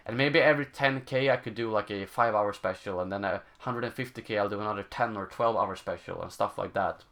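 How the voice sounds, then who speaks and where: A young man with a Swedish accent, speaking English as his second language while he reads out and answers viewer questions. His voice sits somewhat low, and he talks in a loose, halting way, filling gaps and hunting for the right word. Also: fairly bright tone. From Sweden. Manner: halting, searching for words, many fillers. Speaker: gaming channel host. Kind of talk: live stream Q&A, casual chat.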